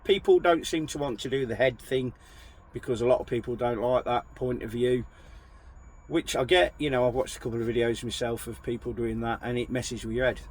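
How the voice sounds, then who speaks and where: A man talks to his viewers from his truck cab, his voice still croaky from a recent chest infection. He rambles in a friendly, apologetic way, pitched at an everyday middle register. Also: fairly quick. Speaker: truck driver vlogger. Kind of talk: casual chat to camera.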